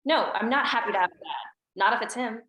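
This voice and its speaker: A woman's voice: a little high, fast, highs cut off, natural voice. Guarded teen girl, subdued, pessimistic, woman at a table read, roomy echo.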